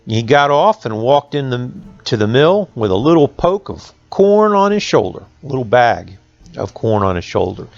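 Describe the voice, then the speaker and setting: A man with a Southern Appalachian accent tells tales for children. His delivery is folksy and conversational, with dramatic pauses in a mid-range voice. The sound is close and dry but a bit dull. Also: medium pitch, big pitch swings, vocal fry, dry recording, faint music behind. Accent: Southern Appalachian American. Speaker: school resource officer reading folk tales to students.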